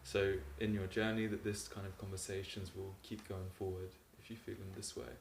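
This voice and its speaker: A man calmly explaining relationship advice in a steady way, with many fillers. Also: low-pitched, moderate pace, echoey room, a little hiss or hum. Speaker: relationship coach. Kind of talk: advice talk to camera.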